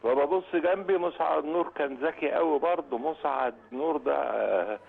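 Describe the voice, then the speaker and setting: Thin phone-like sound, Egyptian man, storytelling, gravelly, brisk pace. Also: fairly high pitch. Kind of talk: animated anecdote telling. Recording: tinny phone-like played clip.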